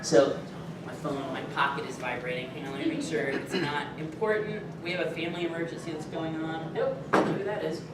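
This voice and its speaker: A man's voice: fairly high pitch, fast talker, heavy background noise. Echoey distant male panel voice. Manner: animated, laughing storyteller. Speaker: voice actor at convention panel. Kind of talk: casual panel talk. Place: convention panel room. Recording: echoey room from far off.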